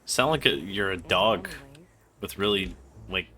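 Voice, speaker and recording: A man's voice: sits low, a little slow, bright, forceful tone. A man livestreaming a video game with casual, sweary, slangy chat and reactions. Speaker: livestream gamer host.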